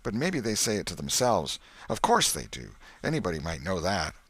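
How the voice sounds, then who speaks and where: A man's voice: low voice, huge pitch swings, normal speed, fairly bright tone, husky, no room sound, full character voice. A bold country boy who leads his friends and thinks he knows it all, played by a man reading a storybook. He speaks in assertive, folksy colloquial phrases, recorded dry and close. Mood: confident, reasoning.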